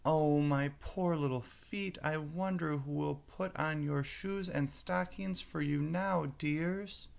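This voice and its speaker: A man's voice: a little high, takes it slowly, creaky, hardly any pauses, voice pushed up, heavily acted. A man voicing a bewildered, dramatic young girl from a children's storybook, musing aloud and exclaiming to herself. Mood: fretful.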